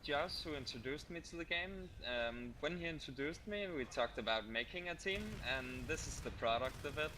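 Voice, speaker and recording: A man's voice: on the high side, animated, moderate pace, few pauses, background noise. A young man from Denmark with a Danish accent, a guest chatting about gaming with lots of ums and uhs. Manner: hesitant, many ums and uhs. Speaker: guest gamer. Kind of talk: casual interview chat.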